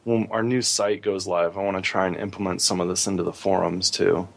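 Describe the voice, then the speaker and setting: A man's voice: low-pitched, little pitch movement, a little fast, gravelly, fairly bright tone. A man co-hosting a gaming podcast, talking casually and giving his opinions freely. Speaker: podcast co-host.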